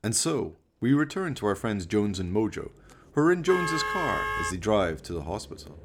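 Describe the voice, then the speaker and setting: A man's voice: lowish voice, sing-song, normal speed, very noisy. A man narrating a scripted radio play in a dramatic storytelling manner.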